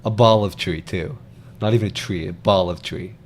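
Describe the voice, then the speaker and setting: A man with a fairly low voice talks casually as a co-host in a film discussion. Faint room noise sits behind him. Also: moderate pace. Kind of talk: casual chat.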